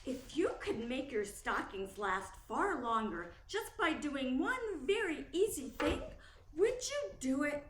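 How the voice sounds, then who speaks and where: A woman's voice: high-pitched, big pitch swings, slow pace, fairly bright tone, full character voice. A woman playing a persuasive 1940s radio commercial announcer, emphatic and salesy. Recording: distant, echoey, faint noise.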